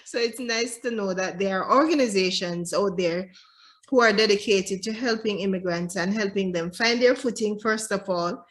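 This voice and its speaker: A woman's voice: medium pitch, moderate pace. A woman hosting an interview over a video call, affirming her guests and chuckling lightly between remarks. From immigrant to Canada since 2013. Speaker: podcast host.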